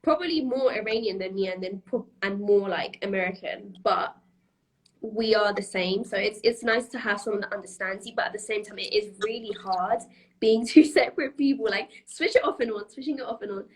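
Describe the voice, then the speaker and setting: A woman's voice: mid-range voice, a little fast, poor audio. A young woman from Iran, a podcast guest, talking casually with lots of fillers, restarts and laughter. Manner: chatty, laughs often, many fillers and restarts. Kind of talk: casual interview chat.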